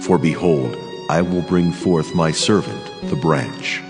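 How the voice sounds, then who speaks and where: A man playing a solemn, commanding angel who declaims God's words deliberately in a dramatized scripture reading. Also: extremely low pitch, heavily acted. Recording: long reverb, clear music underneath. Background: music.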